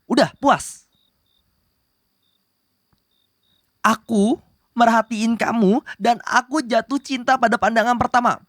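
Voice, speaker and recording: A woman's voice: on the high side, no echo, studio quality, lightly acted. A woman playing a possessive, lovestruck young male demon prince, bossy yet flustered, in a romantic roleplay spoken to the listener. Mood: flustered, insistent. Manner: insistent and flustered, pleading between commands. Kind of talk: romantic ASMR roleplay acting addressed to the listener.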